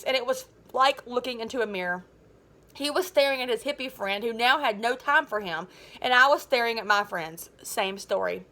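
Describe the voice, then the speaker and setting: A woman reading a children's novel aloud as a teacher, in an animated, dramatic and informal way. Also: high voice. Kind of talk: expressive children's book read-aloud.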